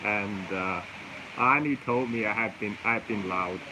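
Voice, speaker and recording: A man with a loud voice hosting a casual outdoor livestream from a roadside cafe, holding the phone camera himself. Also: fairly low pitch, unhurried, background noise. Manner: loud voice, chatty and easygoing. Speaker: livestream host. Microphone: handheld phone camera.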